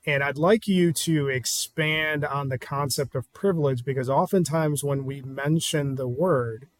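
A man's voice: a little high, unhurried, no room sound. A man hosting a podcast, asking a guest questions in a friendly, easygoing, chatty way. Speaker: podcast host. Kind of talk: interviewing a guest.

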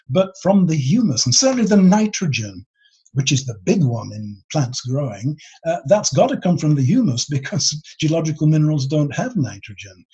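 A man explaining his subject informally as an expert being interviewed, in a husky voice. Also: on the high side, mellow. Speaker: expert interviewee, geology author. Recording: dull, lo-fi sound.

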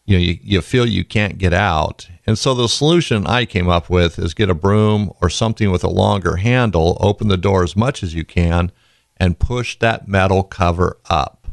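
A man hosting a podcast in a casual, rambling way, his voice raspy and tired from being sick. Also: low-pitched, no echo, studio quality. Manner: rambling, folksy, goes off on tangents. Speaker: podcast host.

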